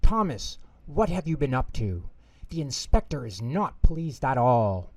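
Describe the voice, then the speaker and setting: A man's voice: mid-range voice, very animated, a little slow, no room sound, pitched above the performer's own voice. A man voicing a stern, commanding older railway boss in a children's storybook, speaking with authority. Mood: very cross. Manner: authoritative, admonishing.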